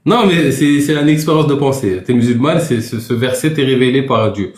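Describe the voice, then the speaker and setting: A man moderating a live online debate, asking questions in a relaxed way. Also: medium pitch, brisk, reverberant.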